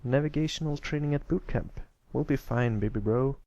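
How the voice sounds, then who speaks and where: A man's voice: medium pitch, moderate pace, mellow, no room sound, lo-fi sound, pitched above the performer's own voice, close to an everyday voice. A man voicing a confident, kindly young big-brother pony in an easygoing, reassuring way.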